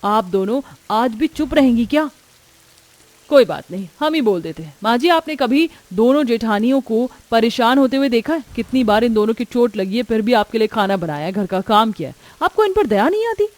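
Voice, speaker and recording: A woman voicing a brash, playful, outspoken young daughter-in-law in a story, with a lively manner. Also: fairly high pitch, moderate pace, no echo, close to an everyday voice. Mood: indignant.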